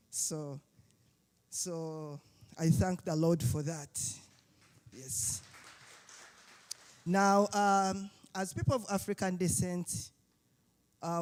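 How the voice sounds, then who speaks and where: A speaker from Uganda, giving a halting panel talk with many fillers and restarts. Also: medium pitch, a little mellow. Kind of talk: summit panel speech.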